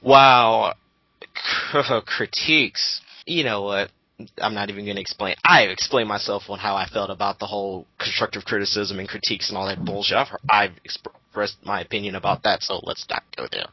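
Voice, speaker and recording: A man's voice: on the low side, fairly even intonation, normal speed, bright, forceful tone, dry recording, poor audio. A 22-year-old man giving a casual, sweary rant as a commentator, abrasive and mocking.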